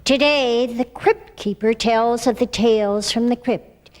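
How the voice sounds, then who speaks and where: A woman's voice: fairly high pitch, a little slow, heavy background noise. An older woman reading scripted narration at a microphone. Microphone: stand microphone. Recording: slightly reverberant hall.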